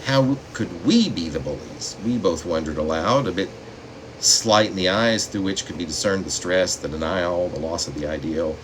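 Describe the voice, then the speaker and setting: Male poet, very deep register, reads in measured dramatic phrases, faint room noise behind him. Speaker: poet reading own work. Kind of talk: poetry reading with spoken asides. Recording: steady room noise, air conditioning hum.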